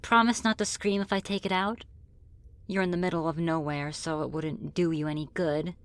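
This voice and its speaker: Woman playing a lower-voiced, teasing friendly demon. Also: steady tone, normal speed, smooth, natural voice. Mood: relaxed, curious. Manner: casual, teasing, politely menacing. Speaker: roleplay voice performer. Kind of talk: ASMR roleplay, scripted one-sided dialogue.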